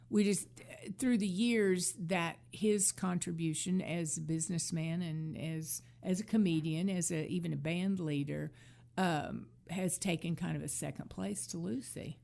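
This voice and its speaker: Female talk host, lowish creaky voice, conversational commentary with halting, repeated phrasing. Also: fairly even intonation, a little mellow.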